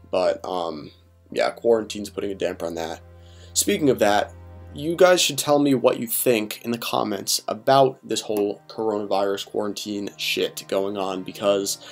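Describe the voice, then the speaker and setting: A young man with a low voice talks softly and keeps it hushed, as if trying not to wake anyone. He rambles casually with plenty of fillers and restarts. Faint music runs under the close voice-over. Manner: deliberately quiet and low-key. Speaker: fitness vlogger. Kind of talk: quiet late-night voice-over.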